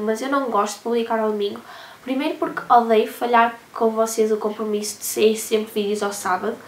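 Hoarse teenage vlogger with a European Portuguese accent, chatty and a bit high-pitched, recorded in an echoey bedroom. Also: female voice. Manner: chatty, talks with her hands. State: hoarse from a cold. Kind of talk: casual vlog talk to camera. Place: bedroom, indoors.

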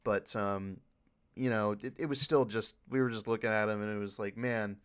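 A man's voice: on the low side, steady tone, normal speed, smooth. A man speaking casually as a panelist in a Q&A, rambling with enthusiasm. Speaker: panelist, video editor for a fan dub group.

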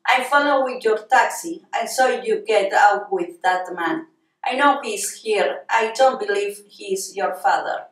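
A woman's voice: mid-range voice, a little slow, close to an everyday voice. Woman doing a tough threatening spy lady, argentine accent, film audition, roomy and a bit far from mic. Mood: cold, impatient. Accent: Argentine Spanish. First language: Spanish. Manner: curt, commanding, threatening. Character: stern armed female Israeli agent interrogating a suspect. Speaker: actress auditioning. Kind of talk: acted film audition scene. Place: small bare indoor room. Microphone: camera a few feet away. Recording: echoey room, distant microphone.

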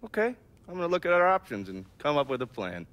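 A man's voice: fairly high pitch, very animated, quick pace, dull audio. An actor playing a wry, laid-back middle-aged intelligence man in a video game, casual and sardonic. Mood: flippant. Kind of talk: conversational video game acting.

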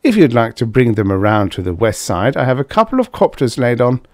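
A man voicing a calm, wry male diplomat with an understated, dry manner. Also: medium pitch, brisk, no echo, lightly acted.

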